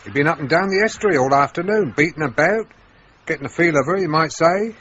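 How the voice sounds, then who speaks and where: An actor playing a plain-spoken, folksy village police sergeant in an old radio play. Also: male voice, on the high side, expressive, quick pace, a bit bright. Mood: wary. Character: chatty male country police sergeant. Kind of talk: 1950s-style radio drama acting. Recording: dull vintage radio recording, faint hiss.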